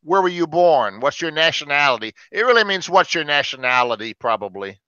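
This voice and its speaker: A man's voice: on the high side, big pitch swings, a little fast, sharp tone, clean tone, no room sound, lo-fi sound. An older man from Alabama teaching English conversation, patiently explaining with everyday examples to a group of learners. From Alabama, United States.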